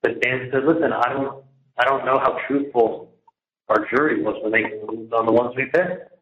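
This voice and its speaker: A man's voice: mid-range voice, normal speed. A man venting in a casual phone conversation, exasperated and repetitive as he recounts things at length from prison. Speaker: prison inmate on a phone call. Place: prison, on a recorded phone line. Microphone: telephone handset. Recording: dull echoey phone call, faint noise.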